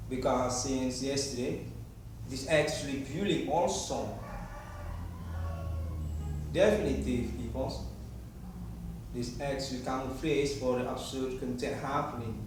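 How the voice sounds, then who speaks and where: Indonesian-accented man for an improvised, disjointed monologue; halting phrasing, recorded far from the mic with clear background noise. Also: medium pitch. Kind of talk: rambling improvised monologue to camera. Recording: very echoey room, distant mic, clear noise.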